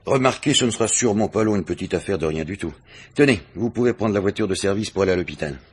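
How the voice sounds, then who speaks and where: An actor playing an avuncular but insistent police chief in a 1950s-style French radio crime drama, placating yet authoritative. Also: male voice, fairly low pitch, expressive, fast, breathy edge.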